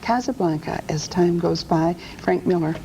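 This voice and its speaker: A woman's voice: on the low side, normal speed, noisy background. A woman hosting a television talk show, gracious and upbeat as she introduces guests and asks questions.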